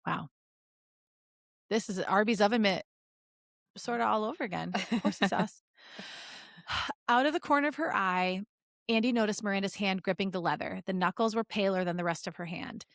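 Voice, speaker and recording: A playful woman co-hosting a podcast, moving between expressive story reading and casual banter. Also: mid-range voice, moderate pace, creaky, pauses often, no echo, clean studio sound. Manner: playful, giggly. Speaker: podcast co-host reading fan fiction aloud. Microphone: close podcast microphone.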